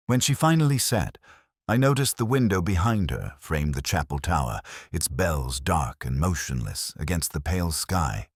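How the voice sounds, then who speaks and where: A man narrating a scripted first-person detective story in a measured, literary, reflective manner. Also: extremely low pitch, dry recording, studio quality.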